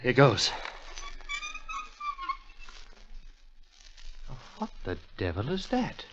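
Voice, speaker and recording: An earnest young English heir in an old radio mystery. He has a medium-pitched voice and a theatrical, curious delivery. The recording is muffled and vintage. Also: wildly swooping pitch, moderate pace, background noise. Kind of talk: 1940s radio drama acting, dialogue and dramatic reading aloud.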